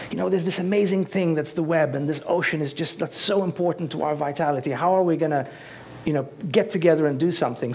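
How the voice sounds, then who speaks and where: A man in his forties giving an enthusiastic, conversational talk on a dark auditorium stage with a headset mic. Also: on the high side, slight background noise. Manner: enthusiastic, conversational, gestures with both hands. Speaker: conference speaker.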